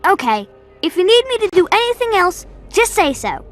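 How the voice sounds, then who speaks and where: Loyal little boy for a game: very high, animated, earnest and eager. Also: normal speed, faint music behind, full character voice. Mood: helpful. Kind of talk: video game cutscene acting.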